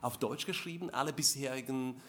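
A man's voice: mid-range voice, fairly quick, fairly bright tone. A man in his late forties, a Slovak native speaker, talking hesitantly about his writing at an author evening, with many fillers and restarts. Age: 40s. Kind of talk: panel discussion at a reading.

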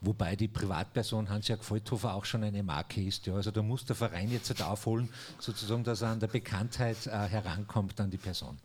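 A man's voice: lowish voice, steady tone, a little fast, few pauses, a little mellow, slight background noise. A man hosting a regional radio interview, asking long conversational questions with frequent fillers. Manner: conversational, many fillers and restarts. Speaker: radio host.

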